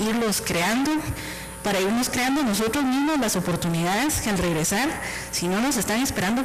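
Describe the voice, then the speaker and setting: A woman's voice: medium pitch, poor audio. A woman professor from Guatemala, speaking earnestly on a university panel. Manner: earnest, idealistic. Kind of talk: panel talk.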